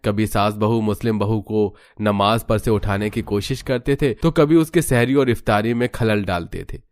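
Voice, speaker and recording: Low-voiced man narrating a story. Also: a little mellow. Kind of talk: scripted cartoon story narration.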